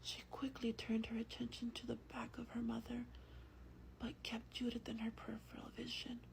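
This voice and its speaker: A woman with a breathy whisper reads a story aloud in a slow, hushed, gentle ASMR style, as a vampire host close to the microphone. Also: fairly high pitch, completely flat delivery, low-quality recording. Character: gentle female vampire host reading a bedtime book. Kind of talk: soft-spoken ASMR roleplay and book reading. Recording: faint background noise.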